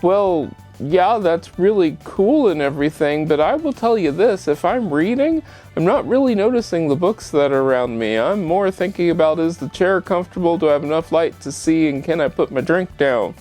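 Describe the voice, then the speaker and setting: Male how-to vlog host, chatty and direct to viewer, slightly high voice over background music. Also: lively intonation, normal speed, a little mellow. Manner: chatty, addresses viewer with rhetorical questions. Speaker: home show host. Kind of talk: casual how-to vlog presentation. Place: bedroom at home.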